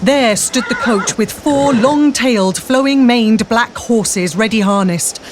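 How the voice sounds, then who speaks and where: A woman's voice: a little high, takes it slowly, over music. A woman narrating a scripted radio drama as a storyteller. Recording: faint background ambience.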